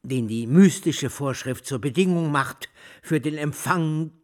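An actor playing an erudite music lecturer in a radio drama, speaking in ornate, solemn, declamatory phrases with devout fervour. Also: male voice, on the high side, measured pace, natural voice. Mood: solemn, exalted.

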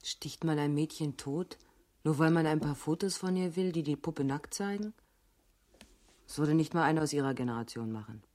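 A woman's voice: low-pitched, normal speed, a little mellow, natural voice. An actress in a radio crime drama playing a cool, outspoken younger police detective, composed and pointed in how she speaks. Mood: cool, matter-of-fact.

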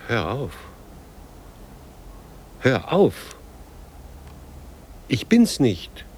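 A man acting a weary, despairing figure in a radio drama, speaking in terse, halting phrases. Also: medium pitch, huge pitch swings, a little mellow, husky, heavily acted. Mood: agitated, rejecting. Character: weary, despairing man who wants to die.

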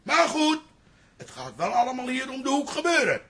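A blustering old working-class father for radio comedy. His voice is high, husky and creaky. He grumbles and quarrels in blunt, forceful bursts. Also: wildly swooping pitch, normal speed, exaggerated voice. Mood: insistent. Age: older.

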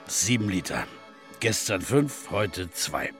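Gravelly cocky barfly, blunt, scornful, pitch jumps around, radio drama. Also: male voice, very animated, slow, fairly bright tone, soft background music, exaggerated voice. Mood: sullen. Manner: dismissive, blunt. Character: brash, shameless tavern freeloader, strong man, contemptuous. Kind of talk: radio play acting.

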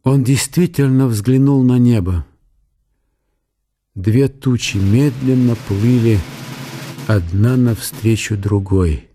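A man's voice: medium pitch, takes it slowly, long pauses, warm tone, slight background noise. A man narrating a radio drama in a scripted, storytelling style.

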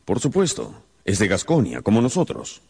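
A solemn, caring father in a radio play. His voice is deep and creaky, with expressive rises and falls. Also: moderate pace, heavily acted. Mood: resolved. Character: grave, caring father of poor Gascon nobility. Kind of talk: radio drama acting.

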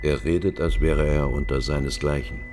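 An actor playing a calm, fatherly older doctor in a German radio drama, speaking in a deliberate, reassuring way. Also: very deep voice, over music. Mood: thoughtful.

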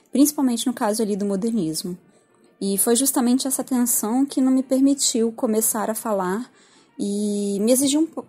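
A woman's voice: mid-range voice, mellow. A woman with a Brazilian accent giving an informal, reflective spoken commentary with a lightly self-deprecating manner. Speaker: art history teacher.

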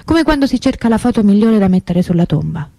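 A woman's voice: mid-range voice, very animated, gravelly, dark tone, no echo. An actress playing an anguished young woman remembering her missing sister in an intimate, hesitant radio monologue. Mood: grieving, nostalgic. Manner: confiding, hesitant, conversational with pauses and repetitions.

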